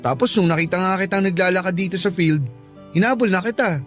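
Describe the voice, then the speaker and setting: A man's voice: high voice, quick pace, fairly bright tone, faint music behind. An actor playing an earnest, lovesick young college man in a Filipino radio drama, pleading and persistent, with a Filipino accent. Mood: eager, coaxing.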